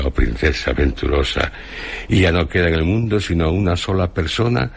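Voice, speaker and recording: An actor playing an ancient, venerable hermit sage near death, speaking solemn, grandiloquent Castilian Spanish in a radio drama. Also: male voice, slight background noise, exaggerated voice. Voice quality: deep, husky. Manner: slow, grandiloquent, declamatory. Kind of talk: Spanish radio theatre acting.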